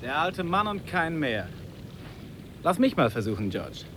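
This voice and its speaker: An actor playing a wry, cautious trekking companion, teasing and sceptical, in a 1950s radio play. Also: male voice, on the high side, big pitch swings, measured pace, fairly bright tone. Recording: noisy track with running water.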